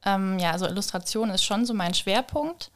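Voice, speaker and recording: A woman's voice: medium pitch, normal speed, fairly bright tone. A young woman speaking casually as a guest in a podcast interview.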